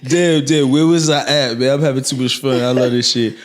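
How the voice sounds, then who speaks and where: A young man hosting a podcast, hyped and quick to laugh, often restarting his sentences. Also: medium pitch, steady tone, a crowd in the background. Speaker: podcast host. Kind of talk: casual interview chat.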